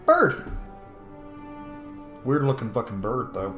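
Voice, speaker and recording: Animated male gamer in a roomy space. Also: mid-range voice, slow. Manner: animated, reactive. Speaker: streamer playing and roleplaying a video game. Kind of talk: casual game commentary with roleplayed replies. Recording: roomy echo, faint music. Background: faint game music.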